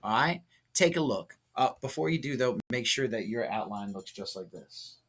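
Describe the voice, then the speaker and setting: A man's voice: medium pitch, lively intonation, moderate pace, over music. A man teaching a lesson, thinking aloud and coaching step by step with short check-in questions. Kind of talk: instructional lesson, thinking aloud through a writing example.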